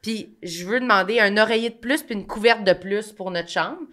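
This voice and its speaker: A young woman with a Quebec French accent hosting a casual podcast, telling stories in a lively, self-mocking way. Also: medium pitch, normal speed. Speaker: podcast host.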